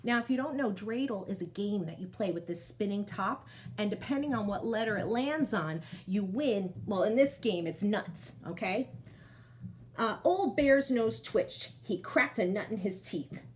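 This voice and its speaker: A woman's voice: medium pitch, measured pace, slight background noise. A woman storyteller reading a picture book aloud to children, expressively and speaking straight to her young listeners. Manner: animated, addresses young listeners directly.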